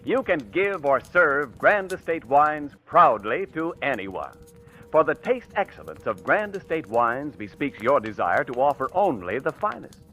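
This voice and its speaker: A man's voice: medium pitch, a bit bright. An American man announcing a scripted 1940s radio commercial with a polished, emphatic sales delivery. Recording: vintage radio broadcast, faint music.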